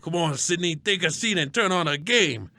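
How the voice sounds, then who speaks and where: A man playing a grumpy, folksy, conspiracy-minded father-in-law in a scripted comedy sketch, gruff and emphatic. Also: on the high side, animated, normal speed, pushed and bright. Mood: grumpy, smug. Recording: noticeable background noise.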